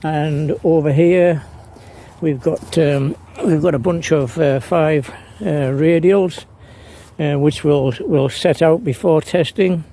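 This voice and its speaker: Slow, creaky-voiced man thinking aloud. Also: on the high side, mellow. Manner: hesitant, thinking aloud as he works. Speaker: amateur radio hobbyist demonstrating his setup. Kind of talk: unscripted how-to vlog narration.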